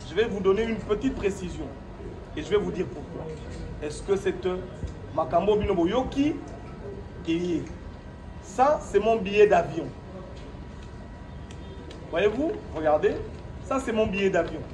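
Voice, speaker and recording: High-pitched Congolese man, defiant and emphatic. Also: lively intonation, measured pace, pauses often, a little mellow, soft background music. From Democratic Republic of the Congo. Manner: defiant, emphatic, repeats phrases and asks rhetorical questions. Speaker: speaker at his own press conference. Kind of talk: press statement mixing French and Lingala, partly improvised. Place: echoey room at his own premises. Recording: roomy, distant microphone, noisy background.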